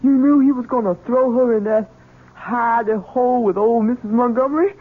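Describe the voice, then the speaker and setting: An anguished simple servant. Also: male voice, very high voice, husky. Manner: halting, anguished. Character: simple-hearted servant son, gentle, desperate. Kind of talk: 1970s radio drama acting. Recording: phone-like narrow old radio broadcast.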